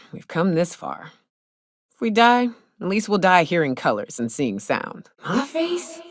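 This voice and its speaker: Sardonic, blunt female space crewmate, very animated. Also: medium pitch, husky, dry recording, clean studio sound. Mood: drunk, woozy. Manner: blunt, folksy, sardonic, swearing. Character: gruff, hard-drinking, sardonic female gun-toting spaceship crew companion. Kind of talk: video game character dialogue.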